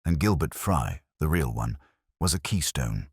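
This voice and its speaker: A man voicing a grave, incisive detective in an audiobook, speaking precisely and deliberately. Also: very deep voice, big pitch swings, husky, no echo, clean studio sound, full character voice.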